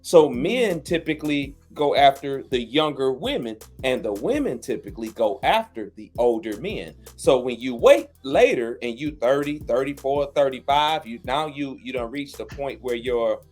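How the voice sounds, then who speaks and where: A man's voice: medium pitch, animated, moderate pace, hardly any pauses, a little mellow, faint music behind. Matter-of-fact male podcast host. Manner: matter-of-fact, lecturing, casual dialect grammar. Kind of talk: casual podcast chat.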